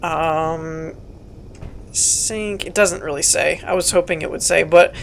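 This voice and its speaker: A woman with a hoarse, raspy voice from a cold, giving casual, rambling gameplay walkthrough commentary as host of her channel, with frequent ums and self-deprecating jokes. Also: sits low, steady tone, takes it slowly, slight background noise.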